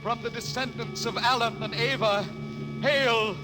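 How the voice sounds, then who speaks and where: An actor in a 1950s radio drama playing the solemn last survivor of an ancient microscopic race, speaking slowly like a ritual farewell. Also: male voice, extremely high pitch, fairly even intonation, heavy background noise. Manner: slow, grave, chanting like a ritual farewell. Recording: old radio broadcast over music.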